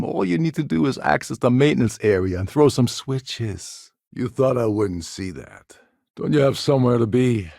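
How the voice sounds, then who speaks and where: An actor voicing a cynical, scheming space pirate pilot in a video game, with a gravelly, husky voice and a wry delivery. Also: male voice, sits low, a little mellow, dry recording, clean studio sound, heavily acted. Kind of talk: video game character dialogue.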